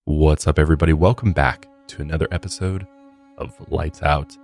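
Very deep-voiced American male podcast host. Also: steady tone, a little fast, a little mellow, faint music behind. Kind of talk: casual podcast intro and chat. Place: podcast studio.

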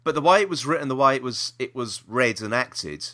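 Bright-voiced, lively man. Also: medium pitch, a little fast. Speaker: podcast co-host. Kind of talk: casual review chat about audio dramas.

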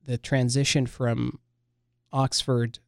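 Male interview host, gravelly tone, filler-heavy and eager, dry close studio recording. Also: medium pitch, little pitch movement, slow, dry recording. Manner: warm, many "uh" and "um" fillers, eager follow-ups. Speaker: podcast host. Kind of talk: hosting an interview podcast.

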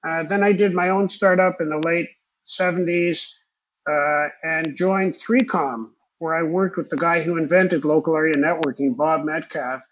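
A man's voice: fairly high pitch, fairly even intonation, normal speed, fairly bright tone, highs cut off, slight background noise. An older man, a native Hebrew speaker raised in Israel, telling his story as an interview guest in a rambling, reflective way. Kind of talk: conversational storytelling.

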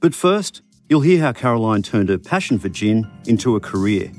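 A man hosting a drinks podcast, presenting his show smoothly and asking interview questions. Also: on the low side, sing-song, normal speed, darkish tone, faint music behind. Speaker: podcast host.

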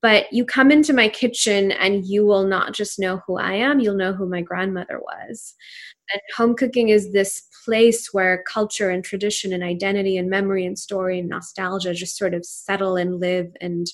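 A woman's voice: medium pitch, fairly even intonation, normal speed. A woman in her thirties who grew up in Pakistan and speaks Urdu natively, talking as an entrepreneur guest in an interview in an earnest, reflective way with frequent fillers. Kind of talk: casual interview conversation.

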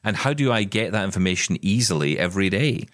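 Low-voiced man on an emphatic rant. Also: animated, fast talker, fairly bright tone, no echo, studio quality. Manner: impassioned, ranting, emphatic. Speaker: podcast co-host. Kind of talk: casual chat.

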